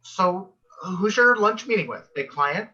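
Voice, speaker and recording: A sassy, suggestive male sitcom co-worker played by an actor, with a bright, fairly high voice and cheeky teasing delivery. The video call audio is a little dull. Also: lively intonation, measured pace, heavily acted. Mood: teasing, flirty. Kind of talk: sitcom table read.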